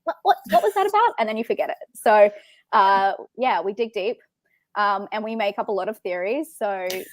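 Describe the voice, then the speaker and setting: A woman with an Australian accent hosting a casual film livestream, rambling along in an excitable, giggly way. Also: mid-range voice, moderate pace, no room sound. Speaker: host of a film talk show.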